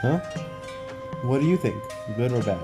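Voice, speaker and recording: A man's voice: mid-range voice, expressive, normal speed, music in the background, close to an everyday voice. A man acting a cocky, swaggering monster fighter. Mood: casual, doubting. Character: Mentaiko, cocky big-guy monster fighter, brash but soft-hearted. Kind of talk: hobby read-aloud of a game story.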